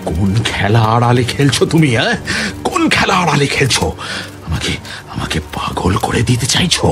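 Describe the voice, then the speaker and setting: A man's voice: medium pitch, huge pitch swings, a little fast, music in the background. A man playing a guilt-ridden, paranoid man coming apart in an audio drama, stammering and repeating himself theatrically. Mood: disbelieving, agitated. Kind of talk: audio drama acting, Bengali mixed with English.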